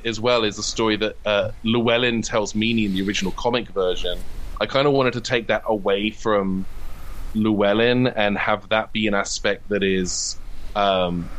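Guy with a lowish voice doing a casual interview, writer type, chatty and wry, goes off on tangents. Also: fairly bright tone, rough recording. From from abroad, immigrated in 2007. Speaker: interviewed comedy writer and audio drama creator. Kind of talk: casual interview chat about own work.

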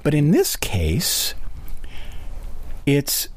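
Man, interviewer, husky voice, animated pitch, thoughtful, halting delivery. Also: no echo. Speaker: interviewer, co-host. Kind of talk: conversational interview.

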